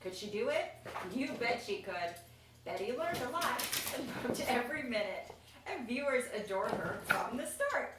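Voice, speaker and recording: Female storybook reader, higher-pitched and expressive, casual asides, recorded at a distance from the mic. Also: moderate pace. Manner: expressive, chatty, pointing out pictures. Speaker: reader of a children's picture book. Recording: echoey room, distant mic, faint noise.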